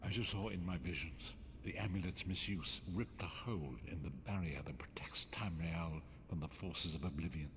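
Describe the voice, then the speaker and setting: An actor playing a solemn, cryptic elderly prophet in a fantasy video game, speaking in a measured, formal way. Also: male voice, low voice. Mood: solemn, mystical. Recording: long cavernous reverb with background noise.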